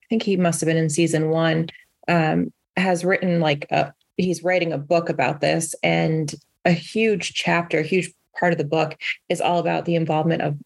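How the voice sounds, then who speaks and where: A woman's voice: deep voice, level tone, normal speed, gravelly. A woman co-hosting a podcast in casual chat, rambling with many fillers and restarts. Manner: rambling, filler-heavy, lots of "like" and "you know". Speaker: podcast co-host.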